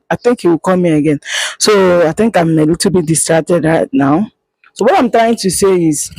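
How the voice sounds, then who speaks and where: A woman with a Nigerian accent delivers a heated monologue to her viewers, hammering her points home through repetition. Her voice is breathy and grainy, and she holds it low and quiet because she is outside. The recording is close and dry, with little room sound. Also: dry recording, poor audio. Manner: emphatic, repetitive, scolding; speaking quietly. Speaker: vlogger. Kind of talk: impassioned monologue to camera. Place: outdoors.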